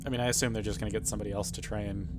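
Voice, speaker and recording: Lowish male gamer voice over music. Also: little pitch movement, racing. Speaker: player in a tabletop roleplaying game. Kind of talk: casual game-table talk.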